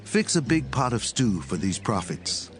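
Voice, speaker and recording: A man's voice: mid-range voice, sing-song, measured pace, faint music behind. A man playing an assured, commanding prophet of ancient Israel in a dramatized audio Bible. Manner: commanding, declarative.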